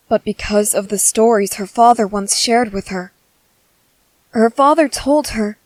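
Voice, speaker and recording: A woman voicing an anxious young father who softly soothes his baby at night in a whispered audio roleplay. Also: mid-range voice, dry recording, natural voice. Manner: soft and hushed, turning frantic and pleading.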